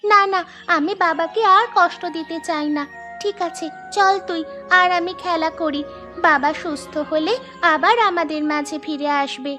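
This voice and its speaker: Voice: extremely high pitch, steady tone, moderate pace, over music. A performer voicing a trusting little village girl in a cartoon, childlike and plaintive. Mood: hopeful. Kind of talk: cartoon voice acting.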